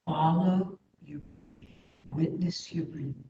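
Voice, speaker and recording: A woman leading a guided meditation as an instructor, speaking in a soothing, gentle, unhurried manner. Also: low-pitched, steady tone, background noise. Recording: echoey room, slightly dull sound.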